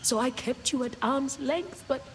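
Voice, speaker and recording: An actress playing a weary, motherly immortal mentor in a video game cutscene, grave and tender, at times hushed. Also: high voice, unhurried, a little hiss or hum. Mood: tender, remorseful.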